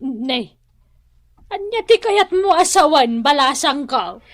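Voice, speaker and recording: A woman's voice: high-pitched, sing-song, slow pace, dry recording, studio quality, heavily acted. An actress playing a long-suffering, protective middle-aged mother in a radio drama, with an Ilocano accent. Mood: indignant. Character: long-suffering, protective village mother, reproachful but loving.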